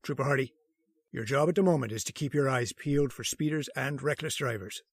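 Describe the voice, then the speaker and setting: An actor playing a gruff, fatherly older police sergeant in an old-time radio drama, speaking. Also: medium pitch, fairly quick, no echo. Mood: fatherly, teasing.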